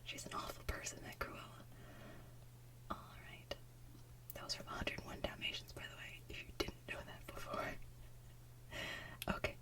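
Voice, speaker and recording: A woman hosting an ASMR video in a hushed, gentle whisper. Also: distant mic, low-quality recording. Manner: hushed, gentle, slow delivery. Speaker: ASMR channel host. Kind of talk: soft whispered ASMR intros and talk.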